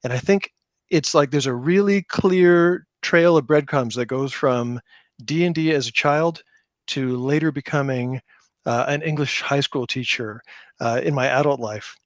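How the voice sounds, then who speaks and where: A man's voice: medium pitch, measured pace, no room sound. A middle-aged man talking casually as an interview guest, with an enthusiastic, self-deprecating manner. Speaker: podcast guest, game creator.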